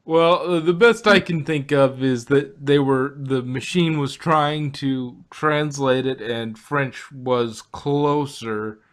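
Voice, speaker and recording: A man co-hosting a review podcast in a voice of middling pitch. He rambles and works through his ideas out loud, using lots of fillers and wry remarks. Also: slow, few pauses. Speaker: podcast co-host. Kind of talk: casual review chat.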